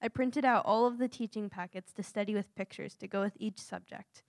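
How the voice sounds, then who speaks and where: A woman's voice: medium pitch, a little fast, creaky, dry recording. Earnest young woman giving a testimony. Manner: earnest, nervous, with small laughs. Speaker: church mission trip speaker. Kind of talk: reading a prepared testimony.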